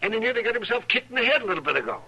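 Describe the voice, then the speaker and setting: An actor playing a fussy, excitable frontier town doctor in an old-time radio western, fretful and folksy, with a raspy voice. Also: male voice, extremely high pitch, rapid-fire, highs cut off, heavily acted. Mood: fretful, exasperated.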